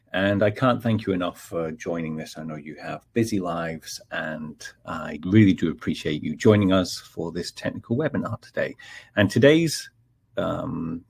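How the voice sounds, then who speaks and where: Man, 40s, low husky voice, polite webinar presenter who fills pauses with ums. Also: a little mellow. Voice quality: polite, chatty, frequent ums and uhs. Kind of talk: live webinar introduction.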